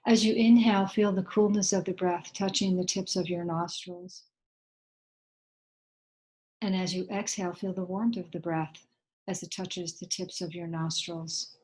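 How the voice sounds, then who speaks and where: A woman leading a guided meditation in a gentle, unhurried way, leaving long pauses between short instructions. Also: fairly low pitch, steady tone, low-quality recording.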